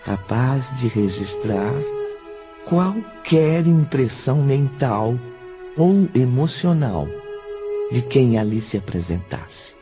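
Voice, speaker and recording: A man's voice: mid-range voice, very animated, slow, music in the background. An actor narrating a spiritist radio drama as a solemn male storyteller.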